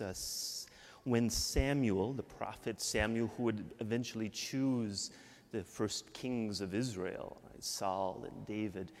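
A man's voice: fairly low pitch, a little mellow. A Midwestern American man from Michigan preaching a sermon as a priest, talking in a conversational way and often adding "right?". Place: church. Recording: long church reverb.

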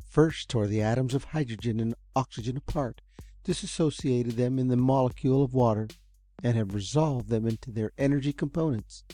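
A man's voice: mid-range voice, brisk, warm tone, lightly acted. A man voicing an eccentric, earnest older male inventor, speaking in a raised, pleading and then eager voice.

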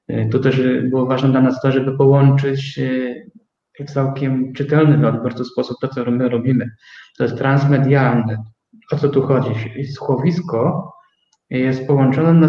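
Male interview guest, mid-pitched, halting explainer, roomy and slightly muffled recording. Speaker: guest, start-up co-owner. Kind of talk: interview answer about own business. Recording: roomy, slightly dull sound.

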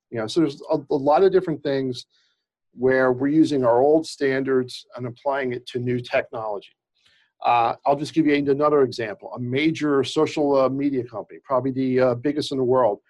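A man of middle pitch speaks with a slight stutter, often restarting words. He explains legal examples in a conversational interview manner. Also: a little mellow. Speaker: employment lawyer, guest.

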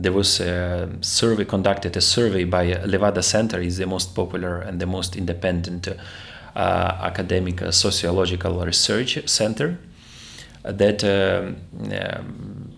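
A man's voice: sits low, vocal fry. A young man from Ukraine, a theology doctoral student, answering interview questions in hesitant English full of fillers and restarts.

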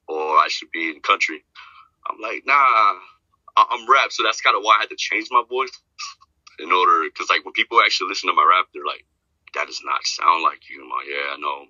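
A man talking casually as a podcast guest, rambling and repeating himself, with a deep voice. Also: pushed and bright, no room sound, muffled recording. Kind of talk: casual chat.

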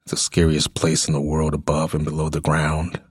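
An American man in middle age acting a world-weary, menacing yet tender ex-convict uncle in a radio drama, with a rough, husky voice. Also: very deep voice, monotone, normal speed, no room sound, studio quality. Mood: bleak, confiding.

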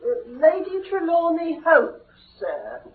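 An actress playing a deferential housekeeper announcing visitors in a classic radio drama. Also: female voice, very high voice, huge pitch swings, slow, exaggerated voice. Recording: muffled old radio broadcast.

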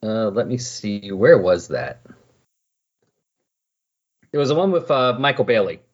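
A middle-aged man with a slightly husky voice hosting a casual comic book discussion in a chatty, explanatory way. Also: on the low side, very animated, measured pace. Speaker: podcast host. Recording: dull lo-fi voice recording.

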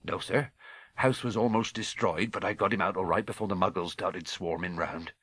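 Guy doing a big soft-hearted giant, husky, rustic and humble, storybook voice. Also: sits low, normal speed, full character voice. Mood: respectful. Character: huge, shaggy, tender-hearted giant man, deferential. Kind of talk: audiobook character voice.

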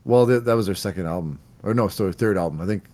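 A man co-hosting a music podcast, presenting a countdown of songs in casual chat, relaxed and laughing now and then. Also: deep voice, fast talker. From Canada. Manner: relaxed, laughing, slight stumbles over words.

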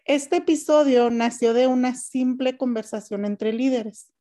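Woman host from Mexico, calm scripted podcast delivery, warm mellow tone, fairly high voice. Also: a little slow. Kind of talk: scripted podcast introduction and moderating.

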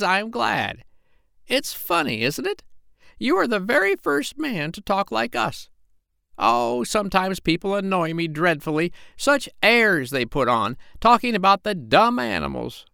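A man voicing a very old, opinionated female parrot in a children's storybook, haughty and chatty in a squawky falsetto. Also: gravelly, pushed and bright, clear, resonant tone, no echo, studio quality, full character voice.

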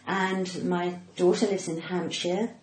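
A woman's voice: lowish voice, fairly even intonation, slow. A well-spoken woman in her sixties with a cut-glass English accent, chatting casually and playfully as a flirty podcast guest. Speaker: podcast guest, stand-up comedian. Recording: echoey room, faint noise, clicks.

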